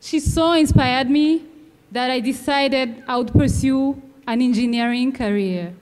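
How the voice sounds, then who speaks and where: A high-voiced young woman from Rwanda delivers an earnest commencement address. She speaks at a measured pace with emphatic pauses at a podium microphone. Her voice rings out with a long reverb from a large venue. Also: darkish tone. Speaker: graduating student speaker. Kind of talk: commencement speech. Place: large outdoor ceremony venue.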